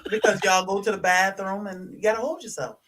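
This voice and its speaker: A woman's voice: medium pitch, fairly even intonation, brisk, a bit bright. A woman with an American accent chatting casually in a group.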